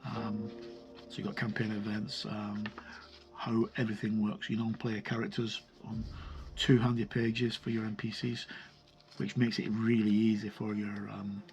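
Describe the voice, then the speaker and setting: A man's voice: on the low side, little pitch movement, moderate pace, darkish tone, soft background music. A man hosting a game review, talking casually and unscripted, rambling and hesitant as he pages through a book. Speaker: host of a tabletop game review channel.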